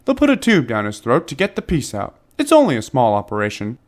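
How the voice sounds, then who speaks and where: A man voicing a reassuring male doctor in a children's storybook, brisk and matter-of-fact as he explains. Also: mid-range voice, huge pitch swings. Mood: businesslike, reassuring.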